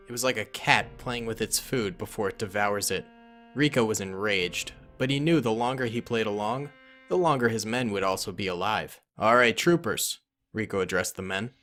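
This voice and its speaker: A man's voice: lowish voice, normal speed, a bit bright, faint music behind. A man narrating a fan-made war story and voicing several soldiers in dialogue. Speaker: narrator and voice of most characters. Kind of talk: fan-made cutscene narration with character voices.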